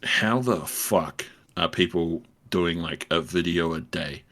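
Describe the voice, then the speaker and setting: Low, bright-voiced laid-back podcast guy. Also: slow. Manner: laid-back, rambling, laughs often. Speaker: podcast co-host. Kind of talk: casual chat.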